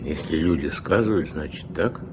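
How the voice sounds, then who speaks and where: An actor in a Soviet radio drama playing a gruff, commanding old riverboat captain who gives curt orders in folksy speech. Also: male voice, rumbling low, moderate pace. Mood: steady, gruff. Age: older. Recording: noisy 1970s radio play recording.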